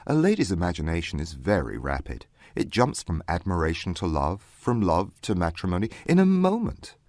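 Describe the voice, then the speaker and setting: An actor playing a proud, aloof young gentleman in a dramatized audiobook, measured and wry. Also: low voice, huge pitch swings, no room sound. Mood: cool, wry.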